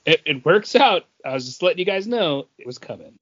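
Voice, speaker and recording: A man's voice: on the high side, sing-song, fairly quick, a bit bright, muffled recording. A man co-hosting a podcast, chatting casually with the others. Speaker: podcast co-host. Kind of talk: casual chat.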